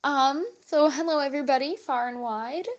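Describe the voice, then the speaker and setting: A woman giving an expressive, rhythmic dramatic reading as a guest storyteller for children. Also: high voice, moderate pace, dull audio.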